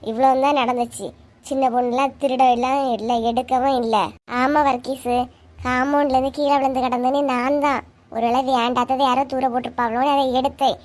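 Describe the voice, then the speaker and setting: A woman's voice: high-pitched, darkish tone, close to an everyday voice. A woman voicing an earnest, insistent village neighbour in a cartoon comedy, with a Kanyakumari Tamil accent. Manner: insistent, explanatory, colloquial.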